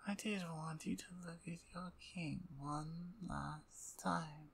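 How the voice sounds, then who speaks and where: Female vampire usurper , played by a woman, ASMR drama: low, unhurried, husky half-whispers, dominant, smug and mockingly courteous. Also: a little hiss or hum, low-quality recording. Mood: gloating. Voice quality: breathy, husky. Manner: slow, taunting, mock-courteous, breathy half-whispers. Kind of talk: ASMR audio roleplay, dramatic monologue.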